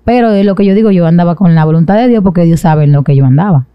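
A woman's voice: fairly low pitch, rapid-fire, clear, resonant tone, dry recording, lo-fi sound. A young woman with a Dominican accent, telling her own story as a guest in an interview. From Dominican Republic. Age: young adult. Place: small indoor studio set with a sofa. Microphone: boom-arm microphone close to the mouth.

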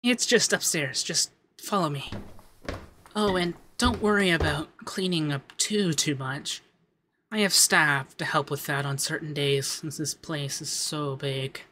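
Guy doing a sweet flirty rich femboy for asmr, talks slow and soft, some hiss behind. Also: on the high side. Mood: doting. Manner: soft-spoken, giggly, affectionate, hesitant fillers. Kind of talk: ASMR roleplay.

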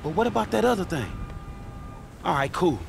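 Streetwise fixer, middle-aged man, lively swings, blunt slang, exasperated, video game, faint noise. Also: high-pitched, moderate pace, gravelly, exaggerated voice. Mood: confident. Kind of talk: video game cutscene acting.

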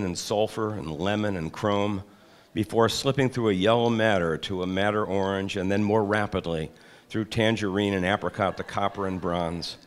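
An older man reading his own essay aloud to an audience in a large hall, deliberate and measured, with occasional hesitant asides. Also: lowish voice. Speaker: author giving a reading. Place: large hall with high ceiling, seated before a projection screen.

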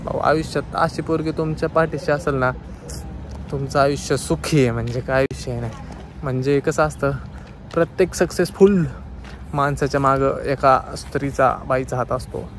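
A young man, talking in a casual, joking, excited way as he vlogs outdoors into a handheld camera. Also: mid-range voice, moderate pace. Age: 20s. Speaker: vlogger. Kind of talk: casual travel vlog. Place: outdoors on a mountain road. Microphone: handheld camera at arm's length. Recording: outdoor recording with loud traffic.

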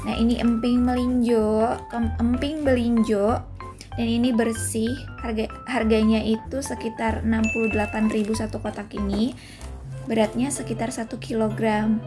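Chatty woman, slightly high voice. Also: level tone. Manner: chatty, pauses to recall prices. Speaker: vlogger. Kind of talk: casual grocery haul voice-over. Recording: voice-over with clear background music.

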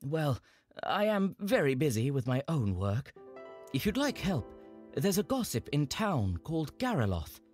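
A man playing a brisk, formal customs official in a fantasy game dub. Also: a little high, sing-song, a little mellow, soft background music. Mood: brisk, polite. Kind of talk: video game character dub.

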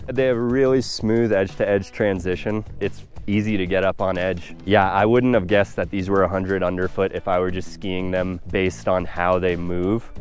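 Low-voiced young man reviewing gear. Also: darkish tone. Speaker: ski reviewer. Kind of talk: casual product review to camera. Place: outdoors at a snowy ski slope. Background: music.

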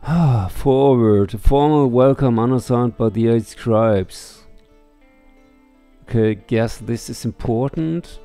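A man's voice: medium pitch, slow, mellow. A middle-aged man giving casual, musing game commentary on a headset mic, reading text aloud as he goes. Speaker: let's play streamer. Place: indoor room. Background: faint game music.

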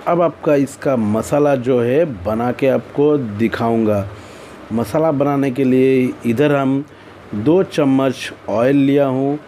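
A man's voice: medium pitch, slow pace, dark tone, slight background noise. A man with an Indian accent narrates a recipe tutorial as a cooking host, in a plain, instructional way with repeated phrasing.

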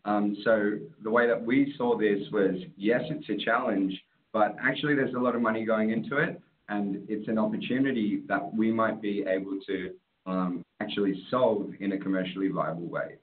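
Aussie guy on a video call, lowish voice, tinny phone-like sound, chatty and upbeat. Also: steady tone, moderate pace. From Australia. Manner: casual, upbeat, tag questions. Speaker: startup presenter. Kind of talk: webinar presentation.

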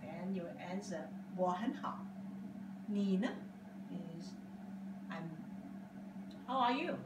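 An older woman whose first language is Cantonese speaks slow, halting English with simple grammar. She sits at a dining table at home, picked up by a camera across the room. The room is echoey and a loud hiss runs underneath. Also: medium pitch, lively intonation, distant mic. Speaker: interviewee. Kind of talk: casual interview answers. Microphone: far camera across the room.

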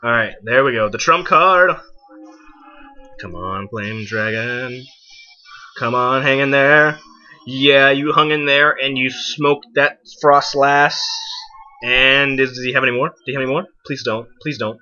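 A young man giving casual, excitable let's play commentary, exclaiming and talking to the game and himself. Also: mid-range voice, unhurried. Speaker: gaming video host. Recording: muffled lo-fi mic, game music behind. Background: video game music.

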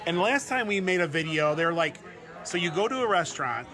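A man's voice: high-pitched, expressive, a little fast. A man in his forties giving a chatty, casual walkthrough of a restaurant meal at the table, repeating words and laughing often. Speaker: travel vlogger. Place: busy restaurant table indoors. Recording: crowded restaurant chatter behind.

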